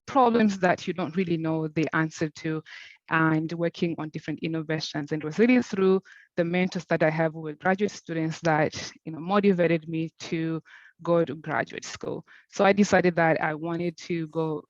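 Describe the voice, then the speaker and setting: A woman from Zimbabwe, giving a keynote presentation in a measured, explanatory way. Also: low voice, fairly even intonation, no echo, highs cut off. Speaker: keynote speaker.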